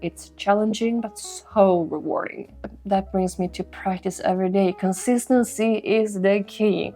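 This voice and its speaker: A young woman, a native Swedish speaker, talks straight to her viewers with earnest encouragement. Her tone is soft and mellow, with a gravelly fry in her voice. Quiet music plays underneath. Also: mid-range voice, unhurried. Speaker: language-learning video host. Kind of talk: motivational talk to camera.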